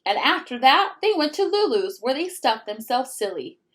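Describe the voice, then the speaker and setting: A woman reading a children's picture book aloud expressively, pausing to put questions to young listeners. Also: high voice, big pitch swings, a bit bright. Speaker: read-aloud storyteller.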